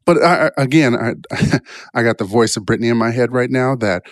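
A man's voice: medium pitch, fairly quick, no room sound, studio quality. A man speaking informally in a self-help style, reflective and rambling through personal stories, often checking in with a questioning "right?". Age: adult, past college years. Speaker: motivational speaker.

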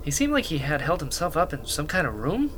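An actor as an eager, bright-voiced young medic. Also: medium pitch, very animated, fairly quick, a little hiss or hum. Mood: puzzled. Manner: curious, innocent, questioning. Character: eager, naive, gentle young medic companion. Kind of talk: sci-fi radio drama acting.

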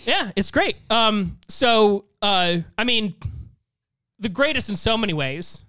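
A man co-hosting a casual podcast chat, talking in halting bursts peppered with "like". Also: high voice, expressive, pushed and bright, smooth, no echo. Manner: halting, heavy use of "like". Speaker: podcast co-host.

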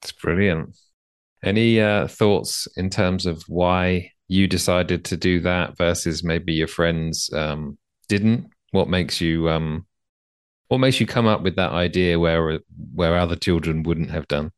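Deep-voiced man asking halting questions. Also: steady tone, clear, resonant tone, dry recording, studio quality. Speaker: podcast host. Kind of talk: interview questions.

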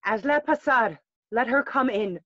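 A woman playing a troubled, reluctant queen in a classical audio play, measured and regal. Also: a little high, animated, a bit bright, dull audio.

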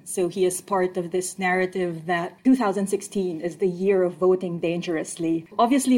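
A woman answers interview questions in a chatty, lively way. Her voice sits in a middle pitch and she speeds up in quick bursts as she explains. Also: low-quality recording. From Philippines. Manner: lively, chatty, speeds up and laughs between points. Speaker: guest, political scientist.

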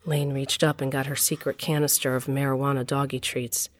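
A wry middle-aged storyteller narrates in a low female voice. She is confiding and intimate, as in an audio drama, recorded close and dry. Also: flat delivery, fairly quick, dry recording. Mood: storytelling. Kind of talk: first-person scripted narration in audio drama.

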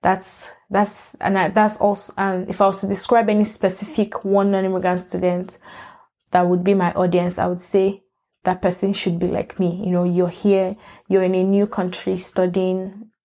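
A young woman hosts her own podcast. Her voice is mellow and soft-edged, sitting at a middle pitch for a woman. She talks in a rambling, candid way and keeps correcting herself. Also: monotone, moderate pace. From Nigeria. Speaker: podcast host. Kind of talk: casual solo podcast monologue.

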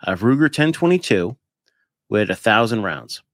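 A man's voice: lowish voice, very animated, normal speed, dry recording, studio quality. A man hosting a podcast in casual chat, putting questions to others in an informal, piecemeal way. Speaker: podcast host.

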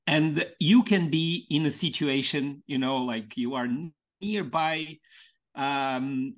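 A man from Portugal with a Portuguese accent, a podcast guest speaking English as a second language in a thoughtful, halting way, stretching out key words. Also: on the high side, a bit bright. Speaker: podcast guest, mentoring founder. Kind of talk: reflective conversational interview.

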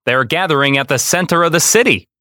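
A man's voice: fairly high pitch, quick pace, bright, forceful tone, no echo, studio quality. A man voicing a commanding, jolly train conductor from a storybook, calling out grandly and theatrically. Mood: assured.

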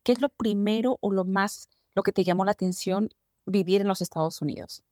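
A woman's voice: medium pitch, a little fast, darkish tone, no room sound, studio quality. A woman hosting an interview podcast, asking long, winding questions with emphasis. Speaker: podcast host.

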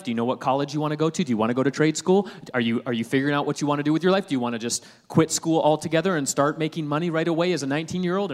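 A man in his thirties, a pastor preaching a sermon in a conversational, humorous way that climbs to loud, emphatic peaks. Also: a little high.

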